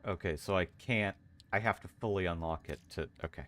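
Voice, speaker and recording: A man's voice: deep voice, a little fast, fairly bright tone, slight background noise. A man streaming a video game, switching between casual chat and dramatic readings of game lore.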